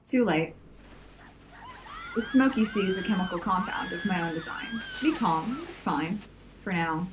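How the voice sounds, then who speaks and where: A woman playing a commanding young supervillain in an audio drama, formal and deliberate. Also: mid-range voice, very animated. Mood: coolly threatening. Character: commanding, coolly threatening young supervillain leader. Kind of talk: audio drama villain acting. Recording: faint noise and room ambience.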